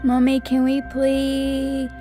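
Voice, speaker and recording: Woman acting a slow, high-voiced little girl. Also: completely flat delivery, mellow, faint music behind. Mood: pleading. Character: small, sweet pleading daughter, young girl.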